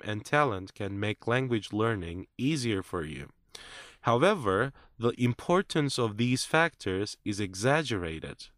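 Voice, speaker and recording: A man reading a scripted listening-test script in a measured, clear way, as narrator and interviewee. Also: medium pitch, fairly bright tone, no room sound, studio quality.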